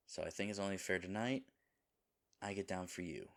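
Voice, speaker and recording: A man's voice: deep voice, fairly even intonation, a little fast, a bit bright. A man playing a lovable, nervous himbo boyfriend in an intimate audio roleplay, earnest and rambling. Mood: nervous, romantic. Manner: earnest, rambling, hesitant, dropping into breathy asides.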